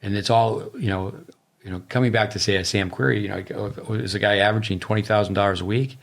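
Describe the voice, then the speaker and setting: A middle-aged man with a very low voice, co-hosting a casual tennis podcast. He rambles and rattles off ideas in quick lists. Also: fairly even intonation, vocal fry. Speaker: tennis coach, podcast co-host.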